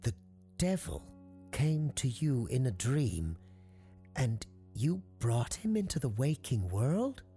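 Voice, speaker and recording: A wary woman , played by a male narrator, in a circus audiobook. The pitch is lifted well above the storyteller's, and the delivery is hesitant and incredulous. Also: big pitch swings, slow, warm tone, breathy edge, faint music behind, full character voice. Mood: wary disbelief. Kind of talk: audiobook character acting.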